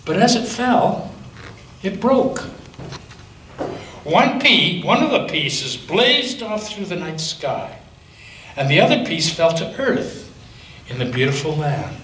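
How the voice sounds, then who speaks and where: A male pastor reads a children's story aloud with a lively, lilting voice that rises and falls. He sits a little back from the microphone, so his voice sounds distant. The church around him adds a strong echo. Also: fairly high pitch. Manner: gentle, lively storytelling with slow dramatic pauses. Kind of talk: reading a picture book aloud to children. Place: church sanctuary. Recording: echoey church from a distance, faint noise.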